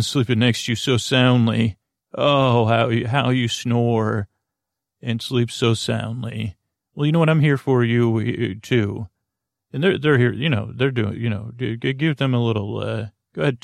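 A man hosting a sleep podcast, rambling through a goofy, whimsical monologue in a halting way full of stammers and self-corrections. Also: mid-range voice, vocal fry, dry recording, studio quality. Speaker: podcast host. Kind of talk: rambling bedtime monologue to help listeners sleep.

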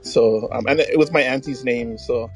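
A middle-aged man from Hawaii, chatting casually as a podcast host in hesitant, halting phrases. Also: mid-range voice, soft background music. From Hawaii, United States.

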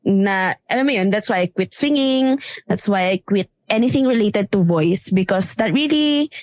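A woman with a Filipino accent and a loud, strong voice, chatting casually and mixing Tagalog with English, with many fillers. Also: medium pitch, lively intonation, moderate pace, no echo. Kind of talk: casual chat.

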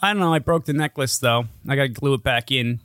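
A man's voice: medium pitch, fast, fairly bright tone, dry recording, studio quality. A man co-hosting a podcast in casual comedic chat, with an irreverent, crude way of joking. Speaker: podcast co-host.

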